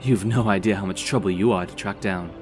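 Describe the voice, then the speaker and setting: Man playing a loyal, lower-voiced knight wingmate. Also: brisk, soft background music, close to an everyday voice. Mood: relieved, teasing. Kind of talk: fantasy audio drama acting.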